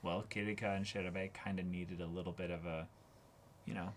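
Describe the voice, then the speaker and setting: Man in casual, halting discussion. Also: low voice, level tone. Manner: halting, repeats words as he thinks aloud. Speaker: co-host of an anime reaction show. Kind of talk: casual discussion of an anime episode.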